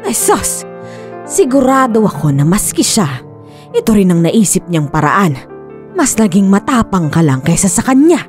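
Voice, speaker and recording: An actress voices a hard-edged older Filipino woman in a radio drama, scornful and taunting. Her pitch leaps around in exasperated exclamations. Soft music plays behind her. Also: very animated, normal speed, natural voice. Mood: cynical. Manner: taunting, mocking, sighing exclamations.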